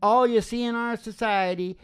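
A man's voice: extremely high pitch, fairly even intonation, moderate pace, clear, resonant tone. A middle-aged man hosting a music show, giving an informal philosophical sermon in short, emphatic, folksy phrases into a close microphone. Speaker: musician and radio show host. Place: small wood-panelled room. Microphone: close stand microphone.

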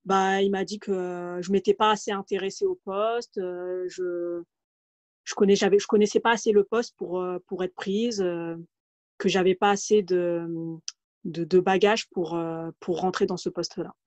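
A woman's voice: fairly low pitch, steady tone, moderate pace, darkish tone, no echo. Hesitant woman on a dull, lo-fi call. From France, Paris region. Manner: hesitant, many fillers and restarts. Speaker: guest. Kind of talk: casual interview, telling her career story. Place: home room, video call. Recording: dull, lo-fi video call audio.

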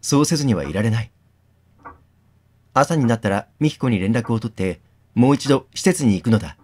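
Animated man hosting a game read-aloud. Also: medium pitch, a little fast. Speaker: video host reading a game aloud. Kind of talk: game playthrough narration and commentary.

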